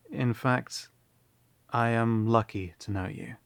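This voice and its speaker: A halting, awkward young prince. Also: male voice, medium pitch, fairly even intonation, gravelly, no echo. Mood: earnest. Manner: stammering, halting, formal apologies. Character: earnest young male prince, awkward and formal. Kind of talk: fantasy audio drama acting.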